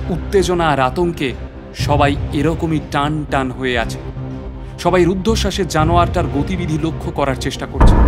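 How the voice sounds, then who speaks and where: An actor playing an adventurer who narrates a suspenseful sea voyage in a dramatic, literary storytelling style. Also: male voice, fairly high pitch, close to an everyday voice.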